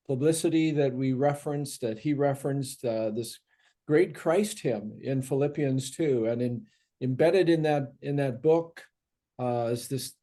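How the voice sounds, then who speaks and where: A man's voice: mid-range voice, mellow. A man moderating a seminary panel on humility, introducing the speakers with many fillers and restarts.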